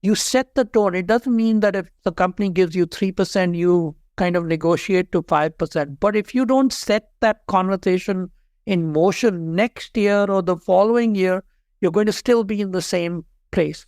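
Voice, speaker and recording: A man in his fifties from Pakistan with a Pakistani accent, telling career stories as an interview guest in an earnest, steady way. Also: high voice, moderate pace, dry recording, studio quality. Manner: earnest, steady, repeats key points. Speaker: guest, HR leader and author. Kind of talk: interview storytelling.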